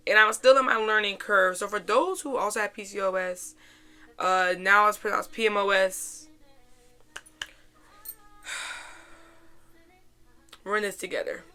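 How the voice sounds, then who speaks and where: Casual female vlog host, young adult, mid-pitched and bright with a slightly worn rasp, informal and chatty. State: hoarse after a weekend out. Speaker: podcast host.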